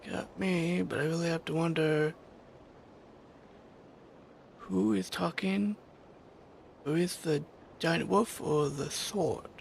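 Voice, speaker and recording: Creaky woman musing over a game. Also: fairly low pitch, steady tone, takes it slowly, pauses often, darkish tone, a little hiss or hum. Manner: musing aloud. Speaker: game streamer. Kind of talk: casual commentary over a game.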